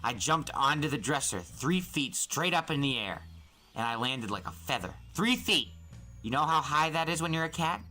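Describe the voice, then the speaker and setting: A man acts out an excitable teenage boy from a storybook, pitched well up and bright. The boy gushes earnestly and emphatically, bursting with enthusiasm. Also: moderate pace, full character voice. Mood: excited. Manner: breathless, emphatic, boyish gushing.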